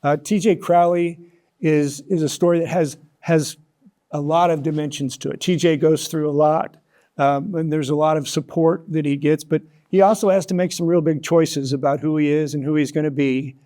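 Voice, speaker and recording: An American man in his sixties speaking as an interview guest, reflecting thoughtfully in halting, considered phrases. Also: on the high side, fairly even intonation, dark tone. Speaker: interview guest, author and storyteller.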